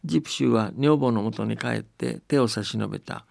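A man's voice: on the low side, fairly quick, gravelly, no echo, studio quality. A man hosting a folktale programme, telling stories and commenting on them in a folksy, chatty way that seeks agreement. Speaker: host reading and explaining folktales on a radio programme. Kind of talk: storytelling and casual commentary.

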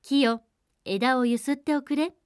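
A woman's voice: high-pitched, fairly even intonation, measured pace, clean tone, dry recording, clean studio sound, voice pushed up. A woman voicing a young fairy-tale heroine who chants a pleading wish.

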